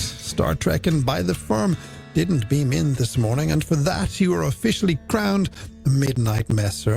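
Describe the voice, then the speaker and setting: A male late-night radio presenter with a slightly high voice that swoops expressively and crackles with fry. His delivery is playful and theatrical, full of wordy banter, over a music bed. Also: a little mellow. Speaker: radio host.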